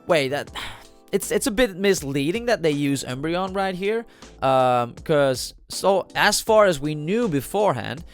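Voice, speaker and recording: Lively nasal man with a cold. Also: a little high, unhurried, soft background music. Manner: thinking aloud with many fillers and restarts. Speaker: trading card channel host. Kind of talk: casual video commentary.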